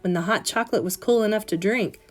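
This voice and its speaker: A woman reads a children's story aloud like a classroom teacher. Her voice sits at a medium pitch, with expressive emphasis and careful phrasing. Soft plucked guitar plays behind her. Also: fast. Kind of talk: expressive read-aloud of a children's picture book. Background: plucked guitar music.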